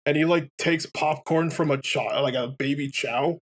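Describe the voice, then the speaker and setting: Higher-voiced man, casual and opinionated. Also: moderate pace. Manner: animated, rambling, sweary. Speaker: let's player commentator. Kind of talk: casual opinionated game commentary chat.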